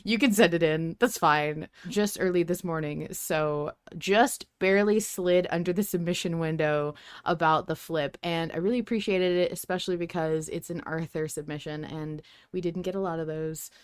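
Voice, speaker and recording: Woman podcast host just chatting casually, lowish voice, very dry sound. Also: moderate pace, clear, resonant tone, no room sound.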